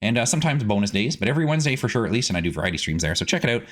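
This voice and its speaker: A man giving casual gameplay commentary as a streaming host, chatty and animated, rambling as he goes. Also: medium pitch, very fast.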